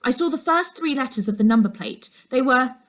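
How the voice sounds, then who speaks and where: A woman voicing an anxious, stubborn young girl in a storybook, excitable and insistent. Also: high voice, brisk, voice pushed up. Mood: earnest, hesitant. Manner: excitable, urgent, pleading.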